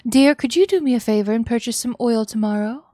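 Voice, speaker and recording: A woman's voice: a little high, brisk, warm tone, no room sound, studio quality, lightly acted. Woman putting on a chirpy, bossy, unhinged mother. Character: cheerful, bossy, unhinged mother doting on a corpse.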